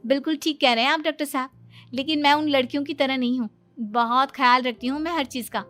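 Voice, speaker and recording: A woman's voice: high-pitched, fairly even intonation, fast, a bit bright, soft background music. A woman voicing a boastful, breezy young daughter-in-law who knows everything, in an acted comedy family story. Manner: boastful, breezy, self-assured. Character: cocky know-it-all young daughter-in-law, proudly showing off.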